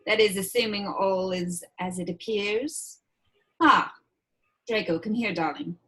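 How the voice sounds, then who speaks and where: A woman playing a menacing, imperious witch villain in a script read, taunting with mock-sweet endearments and drawn-out words. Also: mid-range voice, a bit bright, husky. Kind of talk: table read of a film script.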